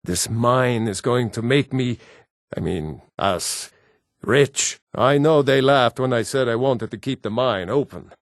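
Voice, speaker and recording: An actor playing a proud, stern Nord man in a fantasy video game, with a measured, weighty delivery. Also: medium pitch, no echo, studio quality, lightly acted. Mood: smug, boastful.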